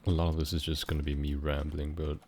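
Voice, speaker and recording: Very deep gravelly young man. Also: little pitch movement, darkish tone, slight background noise. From Switzerland. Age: about 19. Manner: meandering, halting, casually swearing. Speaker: gaming vlogger. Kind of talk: unscripted rambling while playing a game.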